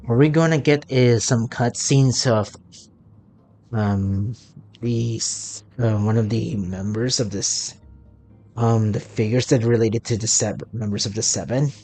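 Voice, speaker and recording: A man giving casual, off-the-cuff live gameplay commentary as a streamer, in a halting way. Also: fairly low pitch, dark tone, soft background music. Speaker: game streamer.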